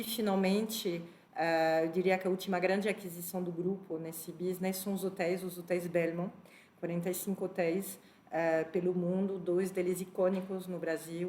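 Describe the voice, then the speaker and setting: A middle-aged woman with a French accent, speaking as a panelist on a video call in a measured way. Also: on the low side, fairly even intonation, darkish tone, roomy sound, a little hiss or hum. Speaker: panelist, former HR director. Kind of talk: webinar panel talk.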